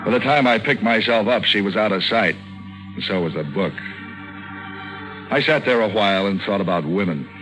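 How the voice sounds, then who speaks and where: Tough, cynical American gumshoe male actor, low register, 1940s radio noir delivery over music. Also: moderate pace, pauses often, lightly acted, poor audio. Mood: weary, wry. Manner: hard-boiled, slangy, deadpan. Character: tough wisecracking male private eye, cynical. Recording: vintage 1940s radio broadcast, music behind.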